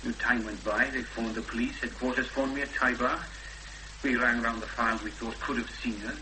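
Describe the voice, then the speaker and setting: An actor playing a cautious, courteous rural policeman with a Welsh accent and a halting official delivery in a 1970s radio drama. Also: mid-range voice, fairly even intonation, close to an everyday voice. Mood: halting, sombre. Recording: old radio recording, loud hum and noise.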